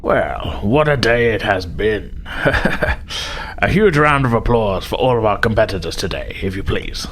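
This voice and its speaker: A man voicing a gracious, formal admiral making a measured ceremonial speech in a tabletop game. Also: on the low side, animated, fairly bright tone, slight background noise.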